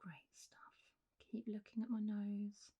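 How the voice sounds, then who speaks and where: A woman playing a calm, reassuring doctor in a soft-spoken ASMR roleplay, gently drifting in and out of whispers. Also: mid-range voice, takes it slowly, husky, lo-fi sound. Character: calm, reassuring female clinic doctor for zombie patients. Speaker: ASMR performer.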